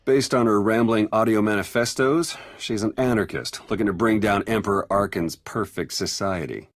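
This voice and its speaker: A man's voice: fairly low pitch, moderate pace, dry recording, natural voice. An actor playing a pragmatic, wry male intelligence agent in a video game, speaking in a frank, matter-of-fact way. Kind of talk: video game cutscene acting.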